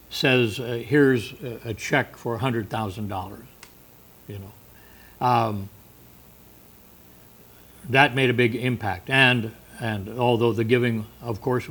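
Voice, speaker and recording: A man's voice: mid-range voice, fairly bright tone, low-quality recording. Man teaching a Bible study. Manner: unscripted, many fillers and restarts, reads verses aloud.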